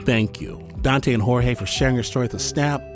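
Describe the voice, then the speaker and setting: A man's voice: mid-range voice, a little fast. A man hosting a podcast, reading scripted credits and introductions. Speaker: podcast host. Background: music.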